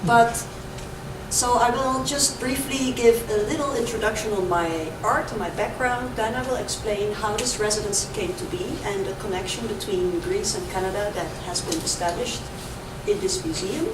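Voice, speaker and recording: A woman's voice: mid-range voice, expressive, normal speed. A woman with a Dutch accent, raised in the Caribbean, giving an artist talk with a hesitant, filler-heavy manner. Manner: hesitant, lots of ums and uhs. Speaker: visiting artist. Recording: echoey room from far off with noise.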